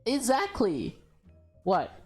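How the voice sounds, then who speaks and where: A woman doing a casual let's play, reading a visual novel aloud with expressive, reactive commentary. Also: a little high, slow pace, husky, faint music behind. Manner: expressive, reactive, slips into character lines. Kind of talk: casual playthrough commentary mixed with dramatic reading.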